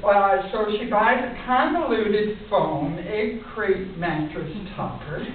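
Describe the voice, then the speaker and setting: An older woman poet reads her work to an audience in a measured, deliberate way, with casual introductions, picked up by a distant microphone. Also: mid-range voice, lively intonation. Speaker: poet reading her own poems. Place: indoor room at a conference, speaking at a lectern. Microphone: distant camera microphone. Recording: muffled, hissy recording from far off in echoey room.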